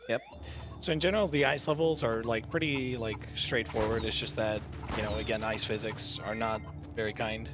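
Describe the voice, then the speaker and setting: A man's voice: lowish voice, fairly even intonation, moderate pace. A man chatting casually as co-commentator during a live speedrun at a gaming marathon. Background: game music.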